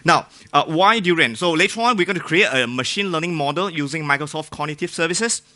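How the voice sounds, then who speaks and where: A man's voice: a little high, expressive, fairly quick, bright, forceful tone. A man with a Singaporean accent giving an informal, joking technical talk to a live audience, often putting questions to them. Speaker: conference speaker.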